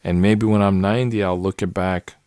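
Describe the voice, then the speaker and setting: Late-forties male host, deep voice, relaxed filler-heavy musing, dry recording. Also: a little fast, smooth, no echo. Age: 40s. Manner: rambling, relaxed, many fillers and tangents. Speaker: puzzle channel host. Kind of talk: casual solo commentary while solving a puzzle.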